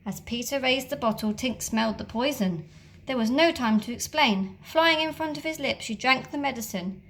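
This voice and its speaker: Woman, teacher, children's story read-aloud, expressive, dramatic, slightly high voice. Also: normal speed.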